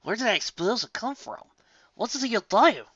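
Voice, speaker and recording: A no-nonsense storybook farm pony, voiced by a woman. She talks bluntly and folksily in a bright, forceful voice. The recording is slightly dull. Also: a little high, very animated, normal speed, husky, no echo, pitched above the performer's own voice, exaggerated voice. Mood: blunt, baffled. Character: plain-spoken, no-nonsense young female pony.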